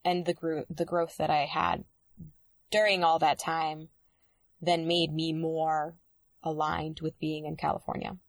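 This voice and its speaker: A woman's voice: low-pitched, little pitch movement, unhurried, no echo. Hesitant, reflective woman, dry close sound. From Dominican Republic. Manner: reflective, hesitant, many fillers and restarts. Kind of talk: casual conversation.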